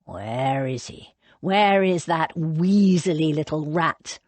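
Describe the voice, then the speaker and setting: A woman's voice: low voice, animated, slow pace, darkish tone, dry recording, heavily acted. A woman voicing an angry, commanding male lord with a clipped, menacing, snarling delivery in an audiobook. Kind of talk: audiobook character dialogue.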